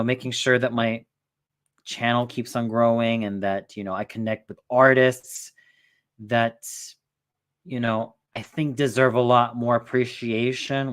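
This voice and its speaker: A man's voice: medium pitch, fairly bright tone. A man in his twenties hosting a casual stream chat, rambling with lots of fillers. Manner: rambling, filler-heavy, restarts often. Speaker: streamer host.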